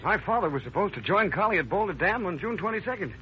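A man's voice: high-pitched, animated, loud music behind the voice. An actor playing a worried, earnest young man in 1930s radio style. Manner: quick, earnest. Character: worried young man reporting missing father. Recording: dull old radio recording.

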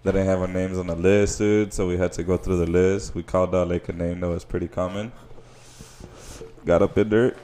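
A man co-hosting a podcast, telling stories in relaxed, slangy banter. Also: low voice, a little fast, a little hiss or hum. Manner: laid-back slangy talk. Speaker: podcast co-host. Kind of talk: casual banter and storytelling.

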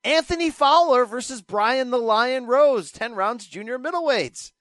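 A man's voice: extremely high pitch, animated, a little slow, a bit bright, no room sound, studio quality. A man hosting a boxing podcast, rattling off the weekend's fight listings with wry, joking asides. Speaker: podcast host. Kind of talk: casual chat.

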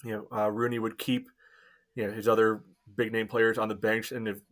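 Low-voiced, halting male podcast co-host. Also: level tone, fairly bright tone. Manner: halting, peppers talk with "you know". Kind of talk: casual sports chat.

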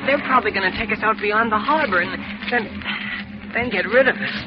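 A young actor playing an eager, jittery cub reporter full of gee-whiz slang, in a 1940s radio drama. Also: male voice, sits high, a little fast, lightly acted. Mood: nervous. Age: young adult. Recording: hissy dull old radio recording.

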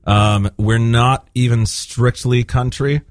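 A man's voice: medium pitch, fairly even intonation, takes it slowly, no room sound, clean studio sound. A man from Spain, a guest band member, chatting casually with a rambling, self-deprecating manner. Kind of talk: casual chat.

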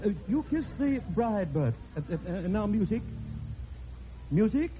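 An actor playing a fussy, rambling wedding officiant in a classic radio comedy, dithering and repeating himself. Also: male voice, high-pitched, very animated, unhurried, noisy background. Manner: repetitive, dithering. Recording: dull old radio recording, music behind.

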